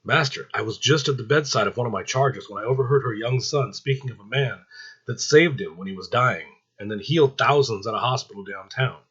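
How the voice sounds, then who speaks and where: A man's voice: medium pitch, fairly bright tone, husky, muffled recording. A man voicing an anxious, deferential female reaper who serves Death.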